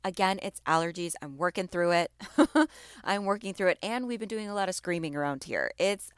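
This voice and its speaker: Slightly raspy woman podcast host. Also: on the low side, fairly quick, no echo, clean studio sound. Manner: encouraging, repeats phrases for emphasis. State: slightly raspy from allergies and screaming. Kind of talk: motivational podcast talk.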